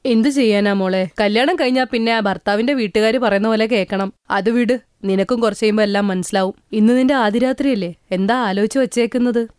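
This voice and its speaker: A woman's voice: fairly high pitch, fairly quick, hardly any pauses, darkish tone, clear, resonant tone, no room sound, studio quality, natural voice. A woman voicing a kind, caring elder sister-in-law who soothes and advises. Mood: friendly. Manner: soothing, friendly.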